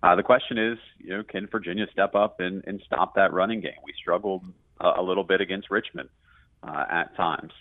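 Low voiced guy chatting football as a radio guest, very dry muffled audio. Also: a little fast, no room sound. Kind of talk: conversational sports analysis.

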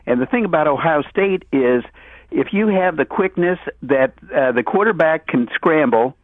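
Male sports-show caller, slightly high voice, rambling and repetitive delivery, thin phone-band sound. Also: expressive, no echo. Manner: halting, wordy, repeats himself. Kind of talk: casual sports talk. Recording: dull band-limited phone call on radio.

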